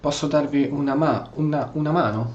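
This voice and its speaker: A man's voice: fairly high pitch, fast. A man giving casual, exclamatory role-play commentary on a video game, in a roomy recording with game sound behind. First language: Italian. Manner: exclamatory, animated. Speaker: gameplay streamer. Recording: roomy, slightly dull mic, game noise behind. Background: video game audio.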